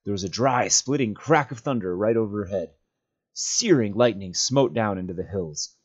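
A man's voice: fairly low pitch, animated, normal speed, breathy edge, dull audio. A man reading a fantasy novel aloud as a storyteller, a volunteer reader narrating.

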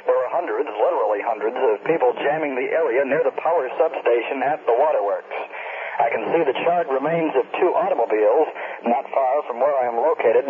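A male actor plays a grave man reporting from the field in a 1970s radio news drama. He speaks soberly and deliberately at a middling pitch. The sound is phone-thin, with plenty of noise. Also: natural voice. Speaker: mobile unit news reporter. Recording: noisy, tinny mobile-unit radio link.

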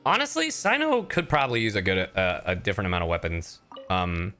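A man streaming a game and chatting casually, animated and often halting mid-thought. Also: sits low, soft background music. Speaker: streamer and voice actor. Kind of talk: casual livestream chat over gameplay.

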